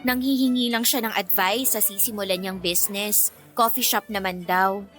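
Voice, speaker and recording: A woman's voice: mid-range voice, steady tone, moderate pace, fairly bright tone, faint music behind, close to an everyday voice. An actress playing a touchy, easily irritated young woman in a radio drama, with a defensive, sulky manner. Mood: sulky, troubled.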